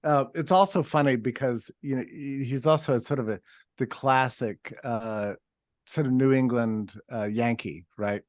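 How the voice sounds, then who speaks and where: A man's voice: mid-range voice, moderate pace, dry recording, clean studio sound. A man from Texas, a guest commentator, telling stories in a casual interview with many restarts and asides, over a video call.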